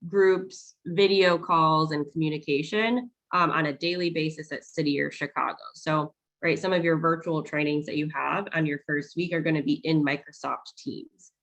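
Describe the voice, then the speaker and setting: Low-voiced woman hosting a video call. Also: steady tone, normal speed, hardly any pauses, fairly bright tone, low-quality recording. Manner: friendly, filler-heavy explaining. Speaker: onboarding staff host. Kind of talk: casual virtual town hall.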